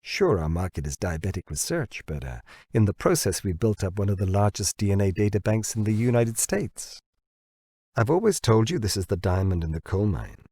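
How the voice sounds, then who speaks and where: A man voicing a shrewd biotech researcher in an audiobook, pitching insistently to his boss. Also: very animated, warm tone, breathy edge, dry recording. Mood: persuasive. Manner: deliberate, insistent salesmanship. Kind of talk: audiobook character dialogue.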